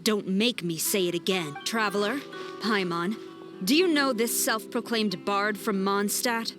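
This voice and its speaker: A woman's voice: mid-range voice, unhurried, music in the background, close to an everyday voice. A woman playing a stern, dutiful military general in a video game, formal, commanding and no-nonsense. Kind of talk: video game cutscene acting.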